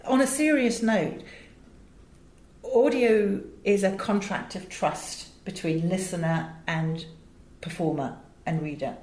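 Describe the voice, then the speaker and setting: A British woman speaks in a fairly low register, recorded in a room with clear echo. She is an interview guest who tells stories conversationally. Her delivery is articulate and emphatic as she explains things. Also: slow pace, darkish tone. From England. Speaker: interview guest, audio director and producer. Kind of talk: animated conversational storytelling in an interview. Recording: roomy, echoing interview sound.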